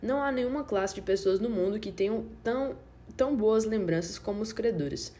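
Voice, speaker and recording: A woman reads a nonfiction audiobook in a steady, measured way, her voice warm and mellow. Her pitch sits in a middle range for a woman, and the reading stays even and unhurried. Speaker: audiobook narrator. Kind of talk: scripted audiobook narration.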